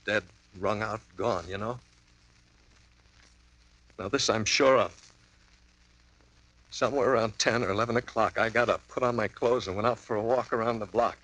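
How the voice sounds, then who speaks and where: An actor playing a frantic, shaken mystery writer in a hospital ward, in a 1950s radio drama. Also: male voice, on the low side, normal speed, pauses often, fairly bright tone, dry recording, low-quality recording. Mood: shaky. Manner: agitated, pleading, shaky. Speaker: mystery author. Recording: old radio broadcast.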